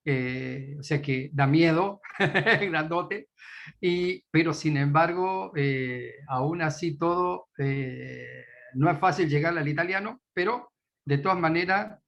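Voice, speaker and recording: A man's voice: a little high, slow, creaky, fairly bright tone. A man with an Argentine Spanish accent introducing a guest at a church meeting, hesitant, with many fillers. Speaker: meeting host.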